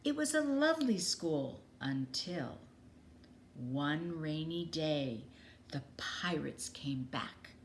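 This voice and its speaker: Woman, children's story reading, unhurried, expressive, dramatic pauses, lively intonation. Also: low voice, a little hiss or hum. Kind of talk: children's story read aloud.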